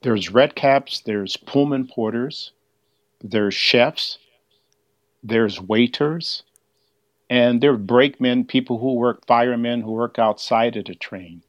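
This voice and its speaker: A man's voice: medium pitch, slow, no echo. An older man explaining history as an interview guest, heard over a dull, band-limited line. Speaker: museum exhibit curator, interview guest.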